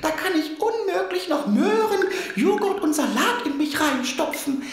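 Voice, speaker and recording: A greedy little boy king from a children's cartoon. The voice is extremely high and childlike, drawing words out slowly. He protests in a whiny, excuse-making way. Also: animated, reverberant, heavily acted. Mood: sheepish, satisfied.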